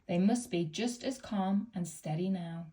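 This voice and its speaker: A woman reading a children's picture book aloud in a gentle, expressive storytelling manner. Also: fairly low pitch, unhurried.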